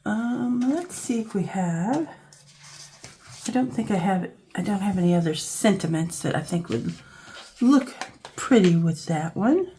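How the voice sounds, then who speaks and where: A woman with a scratchy, hoarse voice from a cold, casually talking through a craft project as she works, thinking aloud. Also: medium pitch, a little slow. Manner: relaxed, thinking aloud while working with her hands. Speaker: craft channel host. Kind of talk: casual crafting tutorial narration.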